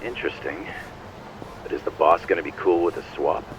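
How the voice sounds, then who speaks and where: An actor playing a streetwise, sceptical yakuza underling with slangy talk, in a video game cutscene. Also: male voice, deep voice, fairly quick, lo-fi sound, noisy background, lightly acted. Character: low-voiced male yakuza underling, sceptical, deferential to boss.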